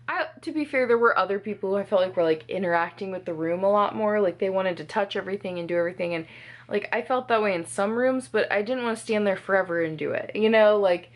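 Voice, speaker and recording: A chatty young woman talks through her weekend into a handheld camera at home. She leans on filler words and slips in small laughs. Her pitch sits in a middle range. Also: quick pace. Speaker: vlogger. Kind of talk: casual vlog chat. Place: home kitchen.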